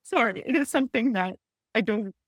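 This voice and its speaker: A woman in her early forties giving personal testimony on a panel, speaking candidly and haltingly, often circling back to repeat phrases. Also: fairly high pitch, lively intonation, no room sound, studio quality. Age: early 40s. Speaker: panelist, cancer patient and mother.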